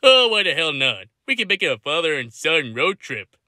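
A man's voice: a little high, very animated, moderate pace, bright, forceful tone, dry recording, clean studio sound, exaggerated voice. A man voicing a laid-back, beer-loving middle-aged cartoon dad in a casual, offhand way. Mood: easygoing. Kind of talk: fan-made cartoon voice acting.

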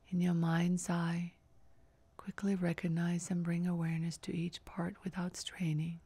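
A woman leading a scripted guided relaxation meditation in a soft, breathy, near-whispered voice, giving calm, soothing, measured instructions. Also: lowish voice. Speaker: yoga nidra meditation guide.

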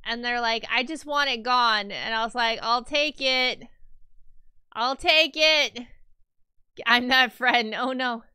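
Bright, high-voiced woman streamer. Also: slow pace, clear, resonant tone, no echo, clean studio sound. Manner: chatty, rambling, frequent fillers and restarts. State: slightly stuffy from a cold. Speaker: livestreamer. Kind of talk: casual chat with viewers.

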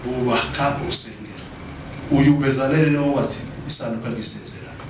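A man's voice: medium pitch, steady tone, fast talker, a bit bright. A middle-aged man preaching a sermon emphatically, repeating phrases and calling on the congregation to respond, on a handheld microphone in an indoor church. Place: indoor church stage at a pulpit. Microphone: handheld microphone through room speakers. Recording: very echoey hall, distant, noisy.

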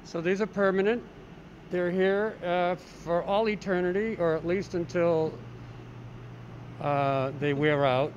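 A man's voice: high voice, fairly even intonation, takes it slowly. A man hosting a casual on-the-street interview, asking loose, conversational questions. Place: outdoors on a city street. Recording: dull, noisy street audio.

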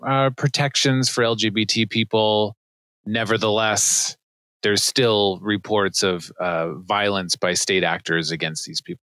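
A man with a low, bright and forceful voice hosts a casual podcast about law. He jokes and laughs his way through the chat, recorded dry on a close mic. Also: no room sound. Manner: joking, laughs often, chatty. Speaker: podcast host, immigration attorney.

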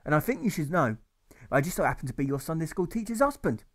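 A man's voice: on the high side, very animated, fast, voice pushed up. A man playing a riled, protective male church superintendent who speaks sternly and indignantly.

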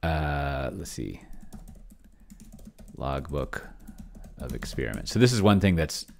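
Middle-aged male streamer, very deep and slow, casually muttering through his thoughts in stops and starts. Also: sing-song, vocal fry, a little hiss or hum. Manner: halting, murmuring word by word as he types. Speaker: host of a solo roleplaying experiment stream. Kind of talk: casual thinking aloud while typing and reading from screen. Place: indoor home room. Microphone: desk microphone close to the mouth.

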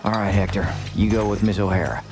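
A man voices a cool Old West gunslinger for a video game. His voice is deep, his delivery wry and laconic. Music sits behind the dialogue. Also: fairly even intonation, quick pace, lightly acted. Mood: businesslike. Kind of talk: video game cutscene acting.

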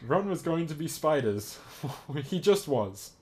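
A man's voice: on the high side, very animated, takes it slowly, darkish tone, a little hiss or hum. A man reading a story aloud casually with amused, incredulous commentary, often laughing and swearing as he goes. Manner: giggly, laughs through his reading.

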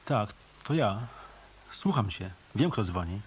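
A man's voice: fairly low pitch, animated, takes it slowly, slight background noise. A male actor playing a tough, wry hotel detective in a hard-boiled Polish radio play. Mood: attentive.

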